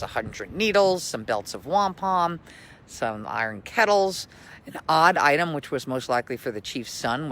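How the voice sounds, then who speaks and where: A man's voice: mid-range voice, a bit bright, a little hiss or hum, rough recording. A man who works as a local history tour guide, speaking in an emphatic storytelling way. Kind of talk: scripted story reading, then informal tour talk.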